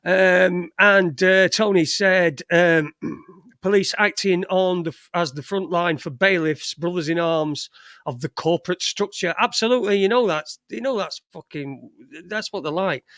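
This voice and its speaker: Blunt, halting man. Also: sits high, a bit bright, no room sound, studio quality. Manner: halting, full of fillers and restarts, swears bluntly. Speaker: livestream show host. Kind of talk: casual livestream chat.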